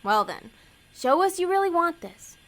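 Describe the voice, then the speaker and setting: A woman playing a scheming, bossy female agent of Hell in a comedic audio drama, brisk, persuasive and easily exasperated. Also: extremely high pitch, very animated, full character voice. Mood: coaxing, firm.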